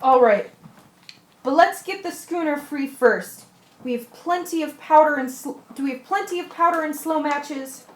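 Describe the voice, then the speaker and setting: A woman's voice: high-pitched, normal speed, lightly acted. A woman playing a sensible, stubborn castaway in an old-fashioned radio adventure play. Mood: insistent. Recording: roomy, echoing recording.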